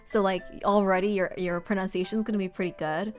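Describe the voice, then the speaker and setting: A chatty woman talks easily with her viewers while she organizes stationery, rambling from one thought to the next and breaking into laughs. Her voice sits at an ordinary middle pitch. Soft music plays quietly underneath. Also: steady tone, fast. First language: Korean. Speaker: streamer. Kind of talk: casual livestream chat while organizing.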